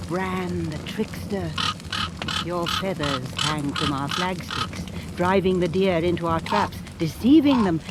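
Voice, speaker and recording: An actress playing a ritual chanter in a 1980s radio drama, intoning poetic spells slowly and intensely. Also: female voice, mid-range voice, noisy background.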